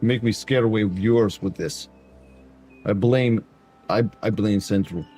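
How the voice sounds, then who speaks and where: A man's voice: lowish voice, unhurried, warm tone, slightly rough, faint music behind. Mock-accented man streaming a game. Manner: talks to chat in a mock Eastern European dictator voice, dropping articles. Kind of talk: casual gameplay commentary.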